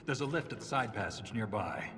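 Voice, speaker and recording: An actor playing a gruff, wry dwarf warrior in a video game cutscene, terse and dryly skeptical. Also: male voice, fairly low pitch, expressive, a little fast. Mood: wry, steady. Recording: long cavernous reverb, loud background noise.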